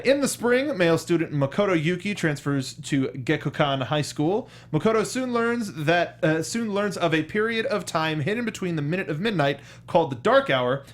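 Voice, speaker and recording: Male review-show host, American, slightly high-pitched, unscripted and conversational, halting with repeats. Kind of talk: unscripted film review chat.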